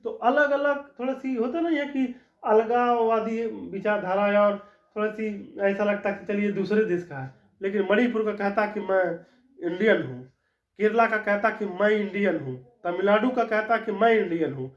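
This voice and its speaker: A high-pitched man lectures on law, going over each point twice and checking in with his listeners as he goes. The recording is dull and lo-fi, and his voice rings in an echoey room. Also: moderate pace. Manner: explanatory, repeats key points, frequent "ठीक" check-ins. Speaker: law teacher. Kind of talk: classroom-style lecture.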